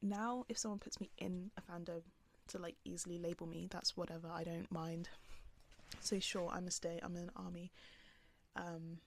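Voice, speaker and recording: Young woman vlogger, soft calming voice, lowish with some fry, loose filler-heavy chat. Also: normal speed, a little hiss or hum. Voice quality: calm, soft voice. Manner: rambling, filler-heavy with many "like"s. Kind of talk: casual talk to camera. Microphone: camera on the speaker.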